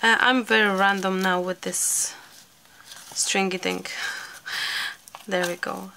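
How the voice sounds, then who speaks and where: A woman, slightly hoarse, chatting casually while she works through a crafting tutorial. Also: mid-range voice, steady tone, slow, sharp tone, a little hiss or hum, low-quality recording. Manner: chatty, thinking aloud, light laughs. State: slightly hoarse from a sore throat. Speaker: craft channel host.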